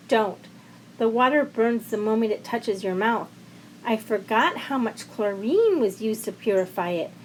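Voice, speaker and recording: A woman's voice: a little high, a little hiss or hum, low-quality recording. A woman voicing a polite, dramatic woman diner in an audio story, courteous in manner. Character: polite, apologetic woman returning after years away.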